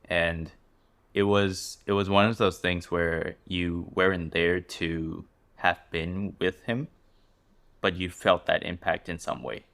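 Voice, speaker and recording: A man hosting a podcast interview, asking questions in a thoughtful, measured way with some restarts. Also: sits low, level tone, creaky, a bit bright. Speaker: podcast host. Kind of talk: interview conversation.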